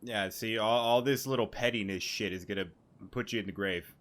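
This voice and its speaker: A man's voice: on the low side, a little fast, a bit bright, a little hiss or hum. A man giving casual, rambling gameplay commentary as a streamer, repeating words and swearing casually. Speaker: gaming streamer. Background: game music.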